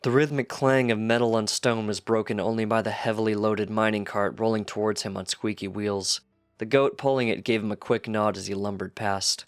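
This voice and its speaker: A man's voice: fairly low pitch, fairly even intonation, brisk, dry recording, clean studio sound, soft background music. A man reading a piece of fiction aloud as scripted narration.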